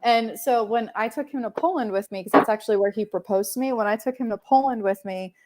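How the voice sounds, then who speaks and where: A woman's voice: fairly high pitch, fast talker, darkish tone, poor audio. A young woman hosting a casual livestream chat, rambling with many fillers and laughing often. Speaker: livestream host.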